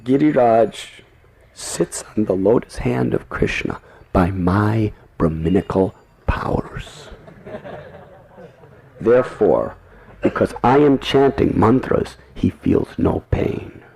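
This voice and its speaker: A man's voice: fairly low pitch, animated, slow, gravelly, a little mellow, full character voice. A man voicing a boastful, cheeky young Brahmin cowherd boy who swaggers and declaims in a proud voice.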